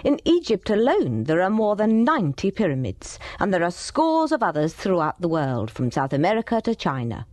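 Very animated woman narrator. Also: fairly low pitch, moderate pace, hardly any pauses, no echo. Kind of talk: dramatised documentary narration.

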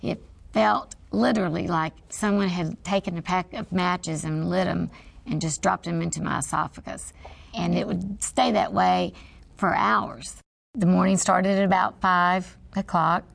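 A woman with a raspy voice telling her own story as a patient, in a halting, reflective way with pauses mid-sentence. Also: lowish voice, fairly even intonation, dry recording. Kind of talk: personal testimony.

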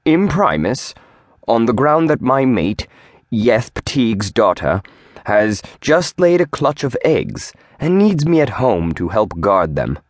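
A man's voice: medium pitch, big pitch swings, takes it slowly, no room sound, studio quality, pitched above the performer's own voice. A man voicing an earnest young male demon scholar who pleads formally and a little nervously. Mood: pleading.

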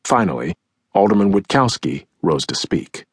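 A man reads scripted nonfiction in a husky voice on the low side. His delivery is measured and dramatic, with a widely moving pitch that gives each phrase shape. He is close to the microphone. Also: very animated, no room sound. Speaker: audiobook narrator. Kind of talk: scripted nonfiction audiobook narration, acting out quotes. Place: close, dry recording studio.

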